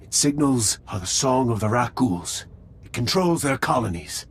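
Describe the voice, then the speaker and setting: A proud alien zealot for a video game, with a husky voice. He declaims solemnly and formally, like one sworn to a cause, defiant and utterly loyal. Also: mid-range voice, animated, moderate pace. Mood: solemn, resigned.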